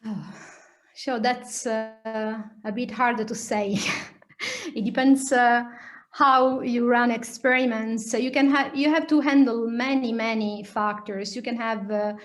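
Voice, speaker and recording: A woman with an Italian accent giving an academic lecture and answering questions, explaining hesitantly with many pauses. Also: a little high, fairly even intonation. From Italy. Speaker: lecturer, nuclear physicist.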